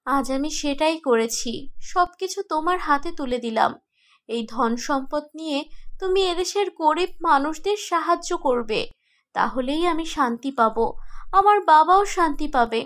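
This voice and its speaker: A woman playing a gentle, wistful princess ghost in a cartoon, speaking earnestly and solemnly. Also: high voice, expressive, a little slow. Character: gentle ghost princess, seven hundred years dead, dutiful, wistful. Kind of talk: cartoon voice acting.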